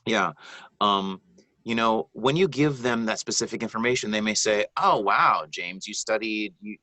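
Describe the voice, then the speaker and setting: Deep-voiced man giving narration advice. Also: moderate pace, sharp tone, dry recording, low-quality recording. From Bahamas. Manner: earnest, rambling, many ums and restarts. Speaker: guest narrator. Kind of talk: live video Q&A advice.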